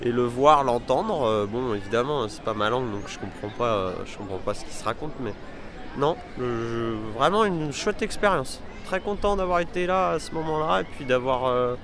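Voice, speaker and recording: A man's voice: medium pitch, animated, normal speed, crowd noise. A man co-hosting a travel vlog, chatting casually to camera outdoors in a busy city, hesitant and rambling. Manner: hesitant, rambling, with many fillers and restarts.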